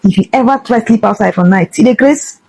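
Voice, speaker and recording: Fast-talking Nigerian woman ranting. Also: on the high side, creaky, darkish tone. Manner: emphatic, indignant, switching between English and Pidgin. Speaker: social media vlogger. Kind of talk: impassioned rant to camera.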